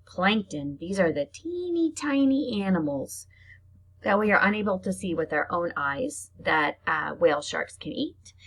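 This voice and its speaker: A woman's voice: lowish voice, gravelly, rough recording. A woman teacher reading aloud to young children, explaining things simply in chatty asides between carefully read sentences. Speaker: elementary teacher reading to pupils.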